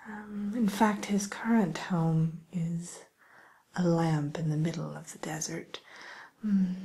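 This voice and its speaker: A woman softly whispering an ASMR roleplay as a polite, hesitant matchmaker who soothes and defers to her client. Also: lowish voice, heavily acted. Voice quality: breathy, mostly whispered. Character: polite, accommodating female matchmaker at a dating service for villains.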